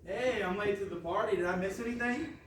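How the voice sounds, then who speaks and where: A man playing a vain, self-satisfied young prince in comic readers theatre, smooth-talking and breezy. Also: high voice, brisk, lightly acted. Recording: roomy, distant stage recording, faint noise.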